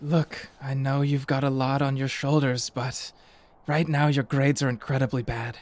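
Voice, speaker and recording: A woman playing a sarcastic, exasperated male high school teacher in an anime fan dub, with a dry, scolding delivery. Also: flat delivery, moderate pace, a little mellow. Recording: music behind the voice.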